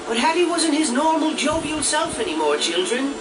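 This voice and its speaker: High-pitched, manic cartoon narrator. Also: male voice, sing-song, moderate pace. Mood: mock tender. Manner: exaggerated, sarcastic, theatrical. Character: manic, theatrical, wisecracking cartoon male narrator, childlike and melodramatic. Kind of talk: comic storybook narration with bursts of song. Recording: distant game audio under loud music.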